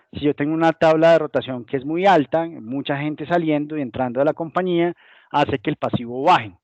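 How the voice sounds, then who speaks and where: A man's voice: a little high, darkish tone, no echo, dull audio. A man from Colombia with a Colombian accent, giving an explanatory business webinar presentation and now and then voicing what companies say. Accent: Colombian Spanish. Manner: explanatory, acts out hypothetical company voices. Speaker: guest presenter.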